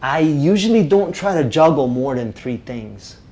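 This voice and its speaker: Male workshop instructor, upbeat and pitched a touch high, keeps checking in with the room. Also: normal speed. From Romania. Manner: energetic, checks in with "right?" constantly. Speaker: public speaking instructor. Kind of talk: live workshop talk to a group.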